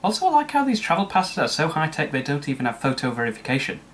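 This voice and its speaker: A man's voice: on the high side, huge pitch swings, fast talker, fairly bright tone, slight background noise. A man narrating a comedic scripted film review with sarcastic, mocking asides. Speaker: movie reviewer.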